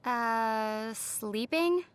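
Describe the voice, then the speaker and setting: A woman voicing a young female adventurer in a video game, gentle and lightly teasing in manner. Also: on the high side, animated, extremely slow, smooth, no room sound. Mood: playful, curious. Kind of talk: video game character acting.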